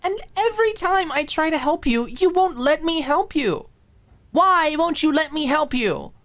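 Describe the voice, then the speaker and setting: Woman playing a higher-pitched, blunt, caring young woman. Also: animated, a little slow, a bit bright. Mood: exasperated, insistent. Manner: casual, emphatic, insistent, uses filler "like". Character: blunt, caring, pouty young woman confronting her friend. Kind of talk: audiobook drama dialogue.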